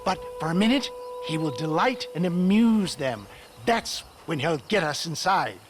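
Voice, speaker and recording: An actor playing a pompous, theatrical schemer in a Western game, wordy and sardonic. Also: male voice, fairly high pitch, expressive, moderate pace, close to an everyday voice. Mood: conspiratorial, dramatic. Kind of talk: video game cutscene acting.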